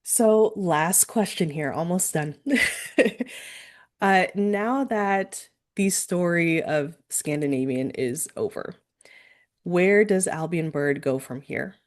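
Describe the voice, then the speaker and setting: A woman's voice: lowish voice, expressive, slow. A woman hosting a podcast, interviewing a guest in a casual, enthusiastic and affirming way.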